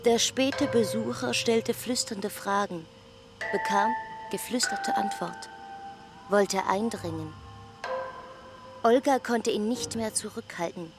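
A woman's voice: mid-range voice, slow pace, long pauses, music in the background. A woman reading scripted literary narration, as narrator of a drama.

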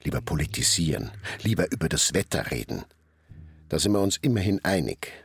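A middle-aged Bavarian hairdresser in a radio play. His voice is low and somewhat husky, recorded very dry and close. He talks in a colloquial, chatty flow. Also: very animated, fairly quick, dry recording, exaggerated voice. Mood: reflective, wry. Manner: talkative, colloquial narrating. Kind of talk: radio drama monologue and dialogue.

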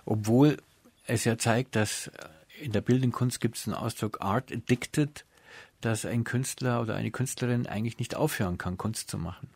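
A man's voice: medium pitch, normal speed, gravelly, darkish tone, dry recording. A man hosting a radio interview, putting prepared questions and presenting in an orderly way.

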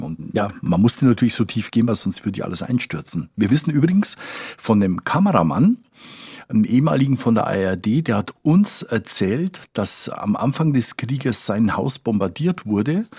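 A man with a husky voice explaining things conversationally as a correspondent in an interview, often repeating words as he goes. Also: on the low side, darkish tone, no room sound, studio quality. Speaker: foreign correspondent interviewed as expert.

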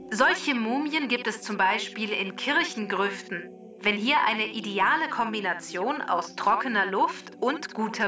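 A woman delivering scripted, factual explanations for children in a measured, instructive way. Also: mid-range voice, distant mic, highs cut off. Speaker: expert explainer on a children's podcast.

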